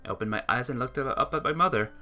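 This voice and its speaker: Bright-voiced reader narrating a story over music. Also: mid-range voice, racing. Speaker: visual novel player reading the text aloud. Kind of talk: narrated reading of a sentimental story. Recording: music behind the voice.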